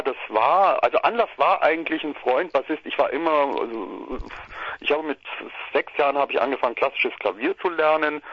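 A middle-aged man from southern Germany who tells his story in long, meandering stretches full of restarts. He speaks at a middling pitch. He is on a muffled phone line with no room echo. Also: slightly rough. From Bavaria/Swabia, Germany. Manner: rambling, restarts, chatty. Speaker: musician guest. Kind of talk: phone interview, casual storytelling.

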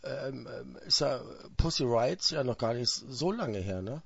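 A man hosting a radio show who chats casually and drops in quick remarks. His voice is slightly husky. The sound is dull and dry, with little treble and no room echo. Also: mid-range voice, animated. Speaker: radio host. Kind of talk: radio interview.

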